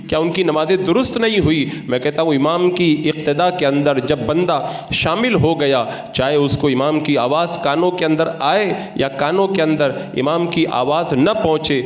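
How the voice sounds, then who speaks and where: Echoey higher-pitched Indian male preacher. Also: normal speed, few pauses. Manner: didactic, argumentative, rhetorical questions. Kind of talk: Friday sermon in a mosque. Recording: echoey, reverberant room sound.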